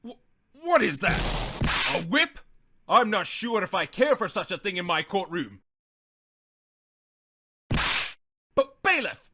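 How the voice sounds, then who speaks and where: A man's voice: high voice, very animated, moderate pace, sharp tone, full character voice. A man voicing a befuddled, blustering old male judge in a video game fan dub, stammering and easily flustered.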